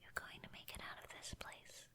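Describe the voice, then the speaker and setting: A woman voicing a teasing but caring young cactus girl in an ASMR roleplay, soft and slightly husky, blunt yet encouraging. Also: fairly quick. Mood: hushed, earnest.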